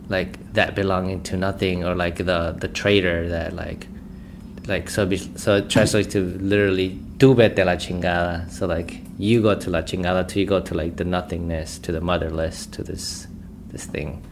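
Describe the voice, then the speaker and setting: A man from Mexico, explaining things in a relaxed, casual way. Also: low voice, noisy background. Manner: relaxed, casual, drifting into Spanglish. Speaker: interviewee.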